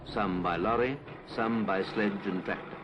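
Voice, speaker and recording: A British man reads a scripted 1950s public information film narration in a formal, measured, clipped manner. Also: lowish voice, fairly even intonation, a bit bright, a little hiss or hum, poor audio. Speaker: documentary narrator. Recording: 1950s film soundtrack with music.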